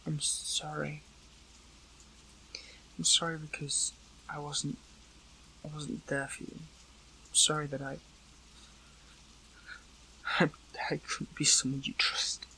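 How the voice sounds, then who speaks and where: A man's voice: fairly high pitch, level tone, bright, forceful tone, slight background noise, rough recording. Man acting tense, earnest captive hero. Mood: remorseful. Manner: halting, pleading, breaks and repeats phrases. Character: captive male superhero, earnest, hurt, questioning an old friend. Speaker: voice actor in an audio roleplay. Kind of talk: dramatic audio roleplay acting, one side of a dialogue.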